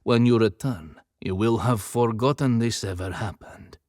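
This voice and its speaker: A man's voice: lowish voice, a little slow, a little mellow, dry recording, studio quality, lightly acted. A man voicing an embittered, stern Russian general in an audiobook, with clipped, threatening authority. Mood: menacing.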